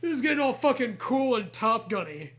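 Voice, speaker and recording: A man's voice: extremely high pitch, big pitch swings, a little fast, fairly bright tone. A man co-hosting a comedic story read-aloud, moving between narration and crude, joking casual banter. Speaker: co-host reading a comic story.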